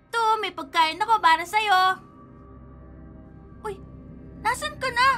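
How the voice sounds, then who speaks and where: A woman's voice: very high voice, lively intonation, unhurried, bright, forceful tone, soft background music, full character voice. A woman voicing a curious, polite little village boy in a radio drama. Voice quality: childlike, polite.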